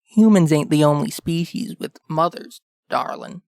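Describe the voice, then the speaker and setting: Guy doing a laid-back smartass rebel prisoner, gravelly fry in the voice, sarcastic, really dry sound. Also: fairly high pitch, measured pace, a little mellow, no echo. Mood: amused. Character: cocky, unbothered captured male human revolutionary. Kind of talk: audio fan fiction acting.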